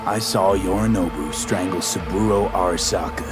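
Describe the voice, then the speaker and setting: An actor playing a blunt, cynical street mercenary in a game cutscene, sardonic and clipped. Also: male voice, deep voice, moderate pace, natural voice. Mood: firm. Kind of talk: video game cutscene acting. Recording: music behind the voice.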